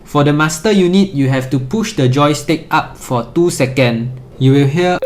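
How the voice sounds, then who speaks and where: A man's voice: a little high, normal speed, slight background noise. A man presenting an instructional tutorial in a methodical, step-by-step way.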